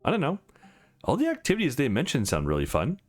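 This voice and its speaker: A man's voice: mid-range voice, huge pitch swings, quick pace. A man voicing a shy, playful, sweet young woman in a visual novel, hesitant and bashful, with music underneath. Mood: playful, excited. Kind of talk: visual novel character read-aloud.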